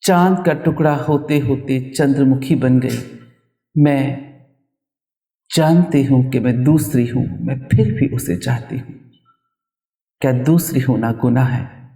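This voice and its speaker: A man reciting his own poetry in a dramatic, emotive style, with deliberate delivery. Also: medium pitch, vocal fry, mellow. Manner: emotive, deliberate, with repeated refrains. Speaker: poet reciting own work. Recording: roomy echo, distant microphone.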